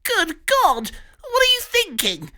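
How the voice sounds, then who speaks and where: High-pitched pig voice, nervous, fussy, posh British chap, bright tone, swooping delivery. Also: male voice, expressive, unhurried, full character voice. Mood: panicked. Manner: fussy, jittery, posh exclamations.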